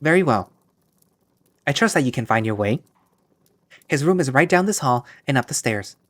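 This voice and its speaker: A man's voice: medium pitch, animated, normal speed, fairly bright tone, pitched above the performer's own voice, lightly acted. A man voicing a stiff, formal male servant at a royal court who speaks curtly and properly. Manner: curt and proper.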